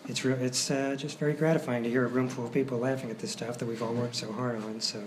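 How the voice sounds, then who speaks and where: A noisy live recording of a man with a mid-pitched voice. He speaks informally and gratefully to an audience as the show's host, feeling his way through his words. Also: level tone, quick pace. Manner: hesitant, grateful. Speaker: writer, director and host of the show. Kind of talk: informal thank-you speech to a live audience. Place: hotel performance space, live audience.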